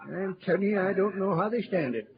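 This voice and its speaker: An excitable, poker-loving prospector in an old radio western. His American voice sits fairly high and swoops all over in folksy, chuckling talk. The recording is dull, with a saloon crowd behind him. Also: brisk, noisy background. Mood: jovial, boastful. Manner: folksy, colloquial, chuckling, animated. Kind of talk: 1940s-50s radio drama acting.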